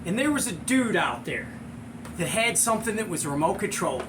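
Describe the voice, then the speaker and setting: Male American storyteller, middle-aged, high voice, chatty and excitable, noisy home-style recording. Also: a little fast. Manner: excitable, slangy, chuckling storyteller. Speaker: show host and storyteller. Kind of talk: animated casual anecdote, with acted-out sound effects.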